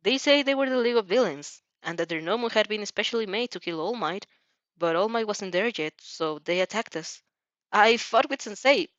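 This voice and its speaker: A woman's voice: mid-range voice, animated, normal speed, a bit bright, dry recording, dull audio, natural voice. A woman voicing an anxious teenage girl hero student in an anime fan story, hesitant and faltering. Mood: shaken, hesitant.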